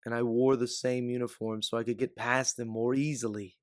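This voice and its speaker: A man playing an older, protective bounty hunter mentor in an audio drama, speaking in an earnest, measured way. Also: medium pitch, flat delivery, dry recording, studio quality, close to an everyday voice. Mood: explaining. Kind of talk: dramatic audio fiction acting.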